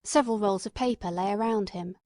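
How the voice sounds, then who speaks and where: A woman narrating a classic novel as a scripted audiobook in a measured, literary manner. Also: mid-range voice, warm tone, no echo, studio quality.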